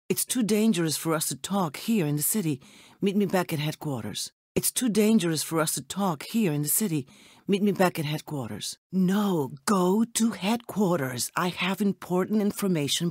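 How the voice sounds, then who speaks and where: An actress playing a poised, elegant secret agent in a video game, speaking with formal, composed politeness. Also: female voice, on the low side, darkish tone, no room sound, clean studio sound. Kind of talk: scripted video game character dialogue.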